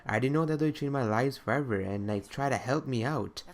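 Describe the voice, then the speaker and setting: A man's voice: medium pitch, a little fast. A young man giving casual, rambling gaming commentary as he counts down his favourites, often putting questions to himself. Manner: rambling, self-questioning, conversational. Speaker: video creator counting down favourites.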